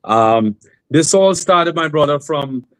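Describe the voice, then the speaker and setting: A man, a guest singer on a remote call, telling his story in a relaxed, rambling way with many fillers and restarts. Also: fairly high pitch, slow, no echo, poor audio. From Trinidad and Tobago. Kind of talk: casual interview storytelling.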